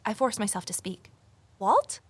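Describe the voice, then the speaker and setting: An actress playing an inquisitive amateur sleuth woman in an audio drama, expressive and pointed in her delivery. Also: mid-range voice, normal speed, breathy edge, no room sound. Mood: tense, frightened, then startled, accusing. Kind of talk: full-cast audio drama acting, narration and dialogue.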